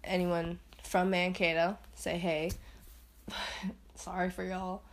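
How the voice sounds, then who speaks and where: A young woman hosting a casual solo podcast, chatty and rambling, laughing at herself and swinging into higher playful voices. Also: flat delivery, takes it slowly. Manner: swings into high put-on voices. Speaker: podcast host.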